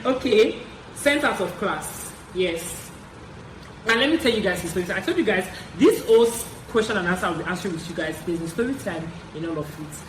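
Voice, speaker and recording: A young adult woman with a Nigerian accent chats to her viewers in a casual storytelling style. She often repeats herself to drive a point home. The sound is roomy and echoey, with clear noise behind her voice. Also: mid-range voice, a little fast. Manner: animated, chatty, repeats phrases for emphasis. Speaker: vlogger.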